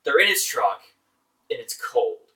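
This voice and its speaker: A man's voice: medium pitch, very animated, unhurried, sharp tone. A man reviewing movies informally as a host, exasperated, emphatic and sarcastic, with casual swearing. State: voice getting hoarse. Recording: distant microphone.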